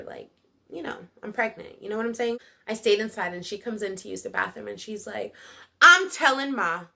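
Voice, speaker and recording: A young woman chatting through a personal storytime. Her voice is bright and clear, and she talks in a loose, animated way full of fillers. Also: medium pitch. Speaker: vlogger. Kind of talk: casual storytime.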